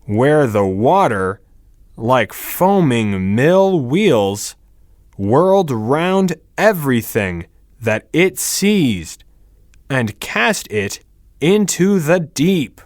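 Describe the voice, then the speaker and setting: A man reading English words and fairy-tale text as slow, carefully enunciated scripted narration. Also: medium pitch, big pitch swings, no echo, studio quality.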